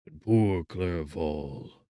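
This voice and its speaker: A man's voice: sits low, drawn-out words, mellow, dry recording, studio quality. A man voicing a mournful, loving father in an audiobook, sorrowful and formal. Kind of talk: audiobook character acting.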